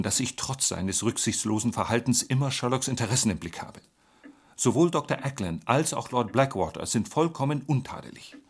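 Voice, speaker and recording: An actor playing a proud, aloof government gentleman in a radio drama, speaking formally and precisely. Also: mid-range voice, breathy edge.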